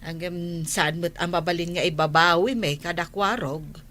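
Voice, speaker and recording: A woman's voice: fairly low pitch, fairly even intonation, moderate pace, sharp tone. A woman playing a village neighbor in a comedy radio drama, speaking with an Ilocano accent. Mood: chatty.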